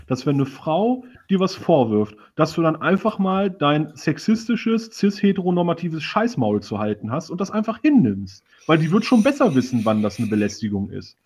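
A man's voice: a little high, big pitch swings, moderate pace, hardly any pauses, a little mellow, slightly rough. A man on a casual satirical panel show, talking in a mock-earnest, sarcastic way. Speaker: panelist on a live talk show. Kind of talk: casual satirical group discussion.

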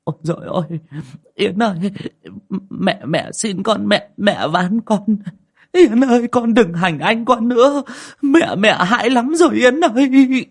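A man's voice: high-pitched, unhurried, voice pushed up. A man voicing a frightened old mother, wailing and pleading with a stammer. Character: terrified old mother begging a ghost.

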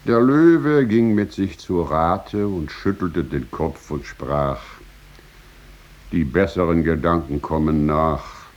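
A man reciting poetry in a solemn, dramatic style, measured, with drawn-out pauses and swelling emphasis. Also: sits low, darkish tone, dull audio.

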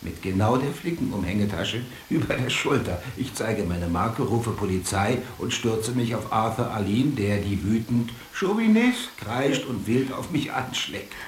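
A man playing a hard-boiled police detective and father in a radio drama, with a gravelly voice. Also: fairly low pitch, expressive, measured pace, few pauses, echoey room, slight background noise. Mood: wry storytelling. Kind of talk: radio drama acting, storytelling.

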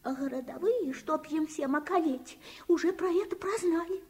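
An actress playing an emotional, fretful servant nanny in a radio play, folksy and lamenting. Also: female voice, very high voice, normal speed, a little mellow. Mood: fearful. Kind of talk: Soviet radio play acting.

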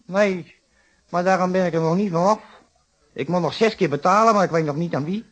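High-pitched, cagey working-class man. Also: a little fast, a bit bright. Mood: grumbling. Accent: Netherlands Dutch. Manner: colloquial, defensive, slangy. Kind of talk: Dutch radio detective play acting.

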